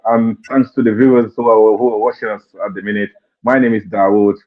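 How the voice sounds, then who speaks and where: A man from Gambia introducing himself on a live online panel, relaxed with hesitant fillers. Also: on the low side, fairly quick. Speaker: panel guest. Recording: tinny phone-like call audio.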